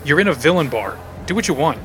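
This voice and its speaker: A man playing a gruff super-villain. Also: very animated, quick pace. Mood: gruff, dismissive. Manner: blunt, dismissive, low drawl. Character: gruff male super criminal, cold-gun heist villain. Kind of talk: audio drama acting. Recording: music behind the voice.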